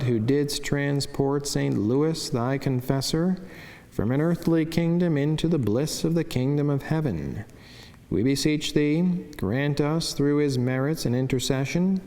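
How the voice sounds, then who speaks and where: A man gives a solemn, measured, formal reading of a scripted religious devotion. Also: medium pitch, a little mellow. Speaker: religious narrator reading a saint's life and devotional meditation. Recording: long cavernous reverb.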